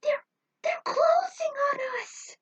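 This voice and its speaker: Woman putting on an excitable young space crew member, raised pitch, alarmed, exclaiming, storybook adventure. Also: slow pace, distant mic. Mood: panicked.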